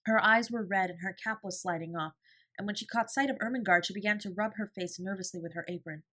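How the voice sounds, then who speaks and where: A woman narrates a classic children's story. Her voice is mid-pitched, and her scripted delivery is expressive, in the manner of an audiobook storyteller. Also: quick pace.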